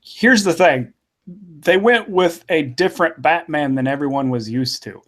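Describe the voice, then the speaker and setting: A man's voice: a little high, expressive, a little slow, fairly bright tone. A man co-hosting a casual podcast, giving blunt opinions. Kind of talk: casual chat.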